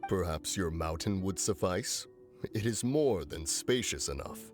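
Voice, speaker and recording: A grave ancient male immortal in a fantasy game. His low voice is measured, formal and respectful, with music clearly behind it. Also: animated. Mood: calm. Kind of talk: fantasy game cutscene acting.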